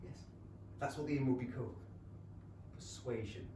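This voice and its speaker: Man acting mild Regency gentleman, distant and echoey. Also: mid-range voice, normal speed, natural voice. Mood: wistful, romantic. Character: amiable, hesitant, romantic young Regency gentleman. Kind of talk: staged play reading, Regency comedy. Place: small theatre stage. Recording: distant mic, echoey room, loud background noise.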